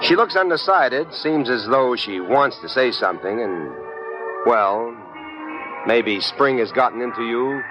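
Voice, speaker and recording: An actor playing a wry, flirtatious private detective who narrates a 1950s radio drama in a confiding way. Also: male voice, medium pitch, lively intonation, a little slow, over music, close to an everyday voice. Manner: wry, confiding second-person storytelling. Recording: muffled 1950s radio broadcast recording.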